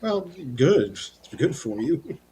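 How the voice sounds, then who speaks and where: Wildly lively man cracking jokes. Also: on the high side, husky. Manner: joking, quick one-liners. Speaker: podcast co-host. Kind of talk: casual chat.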